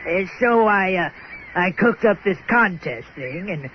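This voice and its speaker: An actor playing a lonely, sheepish, seedy garbage scow captain in a comic radio play, folksy and stammering. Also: male voice, high-pitched, lively intonation, measured pace. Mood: apologetic, lonely. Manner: stammering, hemming and hawing, folksy. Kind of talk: comic audio drama acting in old-time radio manner. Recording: dull lo-fi radio sound, faint noise.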